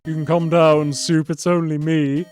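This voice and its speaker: Man acting out an eager, high-pitched cartoon sidekick. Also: normal speed, darkish tone, faint music behind, close to an everyday voice. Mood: reassuring. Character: eager, bossy male cartoon sidekick full of schemes.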